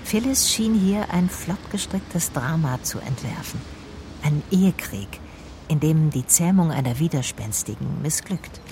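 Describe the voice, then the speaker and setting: Woman private investigator, low voice, dry, matter-of-fact, radio play, ambient noise. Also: animated, unhurried. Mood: matter-of-fact narrating. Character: female private detective narrating her own case, dry and probing. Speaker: detective and narrator. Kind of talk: German radio drama narration and dialogue.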